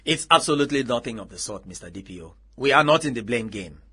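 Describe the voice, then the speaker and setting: An actor with a Nigerian accent playing an earnest, persistent newspaper journalist in a radio drama, speaking persuasively. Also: male voice, mid-range voice, expressive, fast, sharp tone, breathy edge. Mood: diplomatic.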